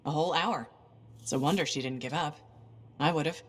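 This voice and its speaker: A woman's voice: low-pitched, normal speed, husky. A woman playing a friendly freelance adventurer in a video game, casual and dryly teasing. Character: wry, friendly female freelance adventurer hero. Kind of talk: video game dialogue acting.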